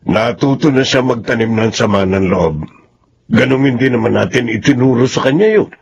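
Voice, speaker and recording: An actor playing a principled, mildly stern middle-aged father in a radio drama, measured and reproving in manner. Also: medium pitch, expressive, creaky, highs cut off, slight background noise. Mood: disappointed.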